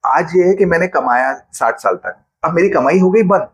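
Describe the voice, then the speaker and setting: A man's voice: on the high side, animated, moderate pace. A man, a financial planner patiently explaining money matters with everyday examples in an interview.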